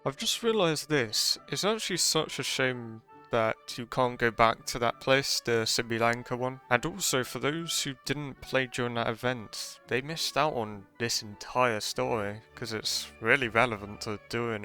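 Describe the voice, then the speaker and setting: A man's voice: medium pitch, unhurried, hardly any pauses, bright, forceful tone. A man giving casual gameplay commentary as a streamer, in chatty, offhand asides. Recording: faint game music behind.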